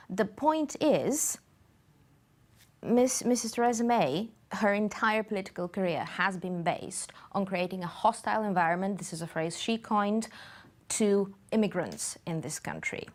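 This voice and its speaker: A woman from Bulgaria, answering interview questions in a studio in a measured way, pausing often with fillers. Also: mid-range voice. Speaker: campaign group guest.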